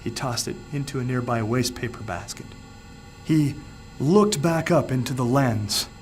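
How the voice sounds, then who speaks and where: Male author-narrator, mid-pitched, measured and suspenseful, for a dark short story. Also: slight background noise. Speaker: author reading his own story. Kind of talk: dramatic audiobook narration.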